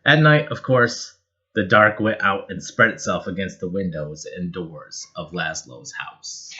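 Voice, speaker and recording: A man reading a children's picture book aloud in an expressive, measured and dramatic storytelling style. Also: low voice, lo-fi sound. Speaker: storybook reader.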